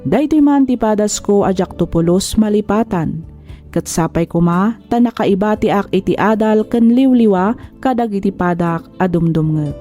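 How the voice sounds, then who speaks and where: A woman's voice: medium pitch, lively intonation, moderate pace, mellow, soft background music, lightly acted. A woman acting as a young woman telling her life story in scripted Ilocano drama narration, with a Filipino Ilocano accent. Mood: reflective.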